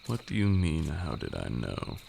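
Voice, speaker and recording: A man playing an ancient, haughty vampire lord in an ASMR roleplay, a condescending mentor with formal, archaic speech and a gravelly voice. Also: moderate pace, heavily acted. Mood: knowing, teasing. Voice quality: very deep, gravelly. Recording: steady noise with night insects. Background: crickets and night insect ambience.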